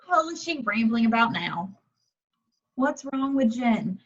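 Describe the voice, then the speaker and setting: Lady doing a bossy sarcastic horror heroine, high sharp voice, blunt, slightly muffled home audio. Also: sing-song, unhurried. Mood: sarcastic, impatient. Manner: scornful, blunt, emphatic. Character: bossy, sarcastic, foul-mouthed woman in a horror film. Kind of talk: amateur at-home screenplay table reading.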